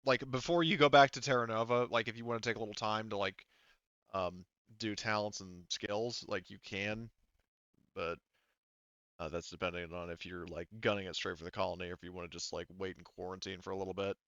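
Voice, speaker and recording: A man's voice: low-pitched, fairly even intonation, fairly quick, fairly bright tone, clean tone, no room sound. A man acting as game master, casually and somewhat hesitantly wrapping up a tabletop session over a slightly dull recording.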